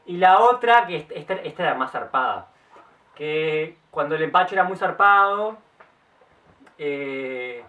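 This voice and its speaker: A young man with a Uruguayan accent chats to his viewers in a slightly high voice, tripping over and restarting his words as he goes. The sound is a little distant, caught by a camera mic set back from him outdoors. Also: lively intonation, unhurried, pushed and bright. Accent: Uruguayan Spanish. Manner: chatty, stop-start, self-correcting. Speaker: vlogger. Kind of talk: casual storytelling to camera. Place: outdoors in the late afternoon.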